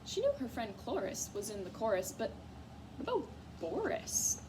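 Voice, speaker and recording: Female storybook reader: higher-pitched voice, expressive children's narration, noticeable background noise. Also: normal speed.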